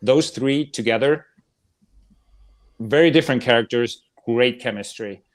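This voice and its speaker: A man with a medium-pitched voice talks as a panelist on a casual podcast. His delivery is informal and enthusiastic, like someone eagerly recommending things to friends. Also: unhurried. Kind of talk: casual chat about films and TV shows.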